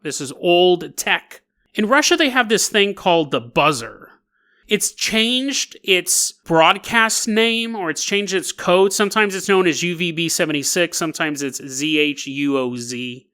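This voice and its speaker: A man hosting a solo podcast, telling stories in a casual, jokey, animated way. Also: a little high. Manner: animated, jokey, laughs at own asides. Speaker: podcast host. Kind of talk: casual comedic storytelling, solo podcast narration.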